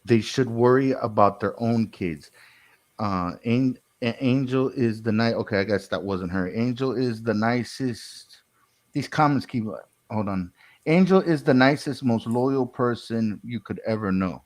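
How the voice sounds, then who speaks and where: A man co-hosting a livestream in an informal, halting way, chatting casually and reading out comments. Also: mid-range voice, a little mellow. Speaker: co-host.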